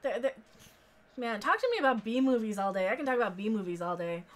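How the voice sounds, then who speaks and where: A woman's voice: fairly high pitch, animated, fast. An American woman in her thirties hosting a live stream, talking in a rambling, informal, sweary way as she answers viewer comments. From central United States. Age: 30s.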